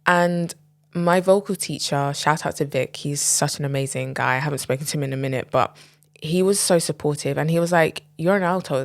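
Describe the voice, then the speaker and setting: A woman's voice: deep voice, no echo. A young woman in her twenties hosting a podcast, talking openly in a loose, chatty way with many "like"s and "um"s. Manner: chatty, lots of "like" and "um". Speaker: podcast host. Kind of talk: casual confessional monologue.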